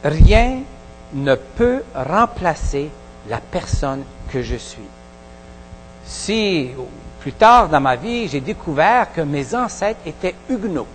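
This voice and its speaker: A pastor with a Québécois French accent preaching a sermon in a halting way, with many pauses and restarts. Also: male voice, fairly high pitch, big pitch swings, long reverb tail, slight background noise, poor audio. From Quebec. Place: church hall.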